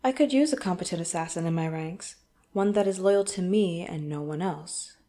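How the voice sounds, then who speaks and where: A woman playing a smug, menacing crime boss in an audio roleplay, speaking deliberately and tauntingly with cool politeness. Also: on the low side, mellow, lightly acted. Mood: smooth, persuasive. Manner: deliberate, taunting, coolly polite with threatening undertone. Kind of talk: audio roleplay acting, addressed to the listener.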